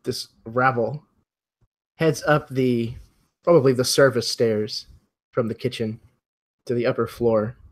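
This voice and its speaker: A man working as game master, narrating a tabletop mystery in a measured, atmospheric way. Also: mid-range voice, fairly even intonation.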